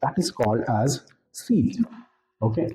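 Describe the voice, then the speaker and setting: A man's voice: medium pitch, lively intonation, unhurried, mellow. Indian man coaching in an echoey room. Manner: didactic, repeats key points for emphasis. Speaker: interview skills coach, presenter. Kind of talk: instructional talk to camera. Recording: roomy, echoing room.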